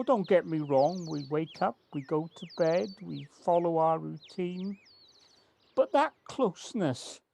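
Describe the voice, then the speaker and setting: Audio play: a woman playing remorseful older woman, unhurried and anguished, slightly noisy home recording. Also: fairly low pitch, vocal fry, darkish tone, exaggerated voice. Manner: halting, confessional, anguished. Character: older, remorseful partner of forty years, full of self-pity. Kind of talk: amateur audio drama acting. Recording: faint noise, home personal-device recording.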